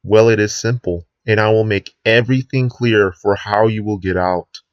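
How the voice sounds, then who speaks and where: A man playing a sinister US president who gives a grave, deliberate address to the nation in an emergency broadcast drama. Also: on the low side, steady tone, smooth, dry recording, highs cut off, close to an everyday voice. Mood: grave, menacing. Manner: grave, deliberate speechmaking with drawn-out ominous phrases.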